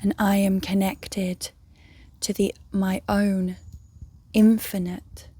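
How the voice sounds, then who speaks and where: A woman leading a guided meditation in a soft, breathy, near-whispered voice, gently and soothingly, with long pauses. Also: medium pitch, flat delivery, dry recording. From England. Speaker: meditation guide, yoga teacher.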